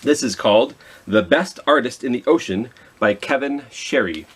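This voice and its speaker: A man's voice: mid-range voice, animated, moderate pace, slightly rough. A man chatting casually to young children as a storytime host, informal and self-deprecating with rambling asides.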